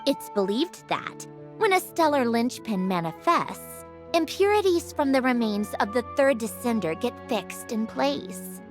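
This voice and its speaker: A woman voicing a young female automaton in a video game, speaking in a measured, explanatory, slightly sardonic way. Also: a little high. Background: game soundtrack music.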